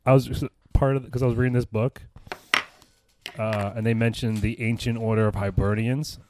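A man's voice: medium pitch, fairly even intonation, moderate pace, noisy background. Laughing, rambling American man. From United States. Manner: rambling, profane, laughs often. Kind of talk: casual chat.

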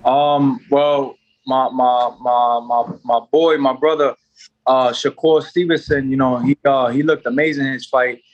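A young man from New York chatting as a guest on a boxing podcast. He rambles with plenty of "you know" and false starts, at a mid-range pitch. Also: steady tone, measured pace, highs cut off. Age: 20s. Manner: loose and rambling, many fillers and restarts. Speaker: boxer, guest. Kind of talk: casual interview chat.

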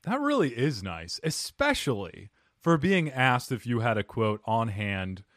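A man's voice: mid-range voice, big pitch swings, unhurried, fairly bright tone, no room sound, studio quality. A man hosting a podcast interview, chatting in a playful, self-deprecating way. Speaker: podcast host. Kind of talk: casual interview chat.

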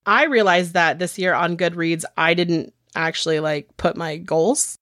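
A woman's voice: on the low side, steady tone, a little slow, fairly bright tone, dry recording, clean studio sound. A woman co-hosting a casual podcast, chatty and rambling, sounding congested from a cold. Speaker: podcast co-host. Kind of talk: casual chat.

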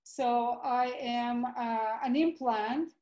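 A woman's voice: a little high, extremely slow, mellow, echoey room. A middle-aged woman with a Russian accent, a guest doctor telling her story eagerly in a call-in interview. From former Soviet Union.

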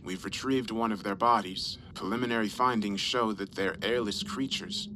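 A man voicing a steady, commanding expedition crew leader with terse delivery in a horror story. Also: fairly low pitch, normal speed, lightly acted. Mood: grave. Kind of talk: horror story character dialogue. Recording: clearly noisy background.

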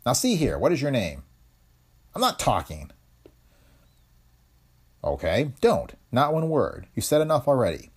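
A reluctant young lawyer, blunt and dry, voiced by a man pitching up from his low natural tone. His speech rises and falls a good deal and carries a husky grain. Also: huge pitch swings, unhurried, pauses often, heavily acted.

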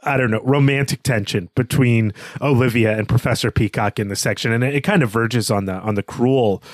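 A man's voice: medium pitch, fairly quick, no room sound, clean studio sound. A man co-hosting a podcast, talking through literature in an analytical, wordy way. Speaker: podcast co-host. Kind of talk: literary discussion.

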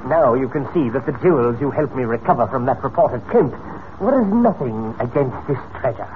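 An actor playing a smug, menacing criminal mastermind in 1940s radio style, oily, theatrical and condescending, on a tinny, noisy old recording. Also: male voice, medium pitch, animated, fairly quick, natural voice. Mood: smug gloating. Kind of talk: 1940s radio serial acting.

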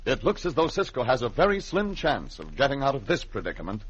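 Over music, a vintage radio announcer narrating an adventure serial. His delivery is formal and dramatic, with lively swings in a mid-pitched voice. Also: fairly quick, breathy edge, lo-fi sound. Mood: suspenseful. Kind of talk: old-time radio announcing, scripted narration.

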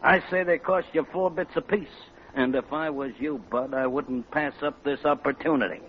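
A man's voice: fairly high pitch, steady tone, moderate pace, natural voice. Man playing a slangy, menacing racketeer on muffled old radio. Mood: menacing, smug. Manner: gruff, slangy, intimidating. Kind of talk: 1940s radio comedy acting. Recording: dull, noisy old radio recording.

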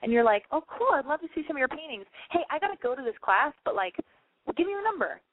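A woman hosting a call-in advice show talks quickly in a higher, bright register. She gives chatty, emphatic big-sister advice. Her voice comes through thin and tinny, like a phone line. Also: very animated, background noise. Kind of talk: casual advice call-in chat.

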